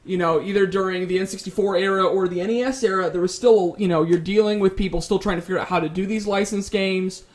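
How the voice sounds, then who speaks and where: A man's voice: sits high, fairly even intonation, fast, hardly any pauses. A man hosting a casual debate about video games, arguing his points in a rambling, opinionated way. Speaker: host of a debate show.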